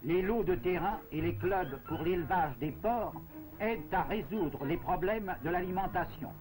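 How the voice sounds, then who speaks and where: A man delivering formal, measured, scripted narration in the declamatory style of a 1940s propaganda film. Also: high-pitched, poor audio. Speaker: newsreel narrator. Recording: 1940s film soundtrack with music. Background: music.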